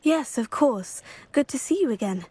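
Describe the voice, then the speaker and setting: A woman's voice: high-pitched, lively intonation, normal speed, mellow, husky. A woman playing a polite, self-assured teenage witch in a video game, composed and courteous. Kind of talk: video game character acting.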